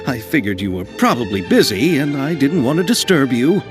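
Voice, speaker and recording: A man's voice: medium pitch, sing-song, normal speed, music in the background, lightly acted. A man voicing an eager, apologetic film director in a video game, with a polite, effusive and hesitant manner. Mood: flustered, apologetic.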